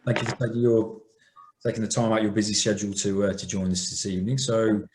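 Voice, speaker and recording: A man's voice: lowish voice, level tone, fast, a little mellow, echoey room, low-quality recording. A man hosting a virtual wine tasting on a video call, introducing the guest. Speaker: host.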